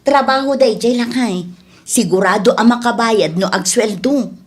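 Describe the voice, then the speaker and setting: A woman's voice: on the high side, moderate pace, natural voice. A woman playing a practical middle-aged wife and neighbour in an Ilocano radio drama, inquisitive and matter-of-fact. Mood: cautious, practical.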